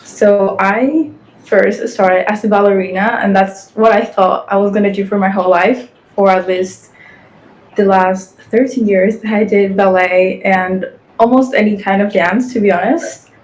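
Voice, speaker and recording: A woman's voice: medium pitch, fairly even intonation, a little slow, a little mellow, rough recording. Podcast guest: young woman, 18, from Guatemala, easygoing and chatty, lots of "like". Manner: chatty, frequent "like" fillers. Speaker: guest, fashion influencer and model. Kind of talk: casual podcast interview.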